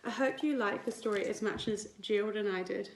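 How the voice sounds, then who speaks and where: A woman, a teacher reading a picture book aloud to young children in an expressive, deliberate way. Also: mid-range voice, a little hiss or hum. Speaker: primary school teacher. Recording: roomy indoor echo.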